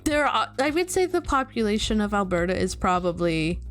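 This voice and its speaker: A woman's voice: mid-range voice, big pitch swings, brisk, faint music behind. A middle-aged woman from Canada hosting a casual streamer interview, chatty and giggly, reading chat messages aloud.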